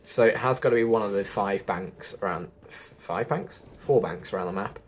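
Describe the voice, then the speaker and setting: A man's voice: lowish voice, moderate pace, a little hiss or hum. A man giving chatty game quest walkthrough commentary as a host, often correcting himself as he goes. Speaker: gaming video host.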